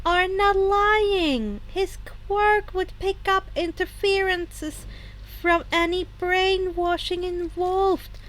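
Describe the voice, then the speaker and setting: Woman putting on a high, composed little animal principal. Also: fairly even intonation, takes it slowly, fairly bright tone, full character voice, low-quality recording. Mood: composed, firm. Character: calm, clever male animal school principal.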